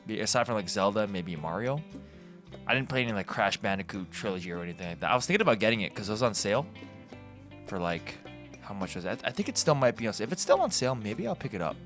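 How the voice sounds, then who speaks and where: A man's voice: on the low side, very animated, fast talker, a bit bright. A Canadian man hosting a casual, rambling commentary, informal and digressive, often correcting himself as he goes. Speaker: video host. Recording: background guitar music. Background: guitar music.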